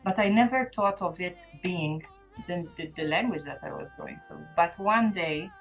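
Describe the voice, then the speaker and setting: Earnest woman, low voice. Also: moderate pace, faint music behind, low-quality recording. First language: Maltese. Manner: earnest, reflective testimony with restarts. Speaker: gospel singer, guest. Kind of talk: casual interview chat.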